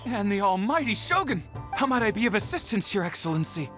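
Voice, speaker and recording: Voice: mid-range voice, sing-song, fairly quick, natural voice. An actor voicing a nervous, servile estate retainer who stammers and defers, in a game with music behind. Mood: flustered. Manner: flustered, stammering, overly deferential.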